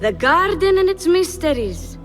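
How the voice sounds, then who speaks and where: An actress playing a coy, coldly loyal young palace schemer in a game cutscene, speaking in a sly, controlled, deliberate way. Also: female voice, very high-pitched, sing-song, faint music behind, heavily acted. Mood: sly, cool. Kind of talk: video game cutscene acting.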